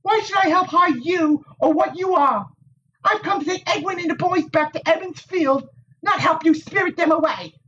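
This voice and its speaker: A man reading an audiobook voices a stubborn, sharp-tongued young village woman with defiant retorts. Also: very high voice, normal speed, distant mic, lo-fi sound, voice pushed up.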